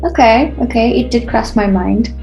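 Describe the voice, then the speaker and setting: A woman's voice: on the high side, normal speed, gravelly, warm tone, soft background music, low-quality recording. A woman from South Africa in a casual video call chat, friendly and a little hesitant with fillers. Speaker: program participant.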